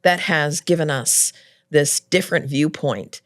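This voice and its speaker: A middle-aged woman talking as a guest in a casual interview, loud and animated, laughing often. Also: low-pitched, measured pace.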